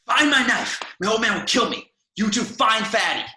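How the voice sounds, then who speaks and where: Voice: mid-range voice, animated, moderate pace, sharp tone, a little hiss or hum, pitched above the performer's own voice. A table reader voicing a vicious, knife-wielding teenage bully who snarls threats. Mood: yelling. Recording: roomy echo.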